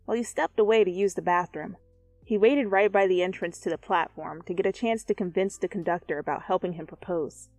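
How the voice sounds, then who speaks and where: A woman reading fan fiction as an expressive, dramatic narrator who swears freely. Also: fairly low pitch, a little fast, no echo, rough recording. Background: soft music.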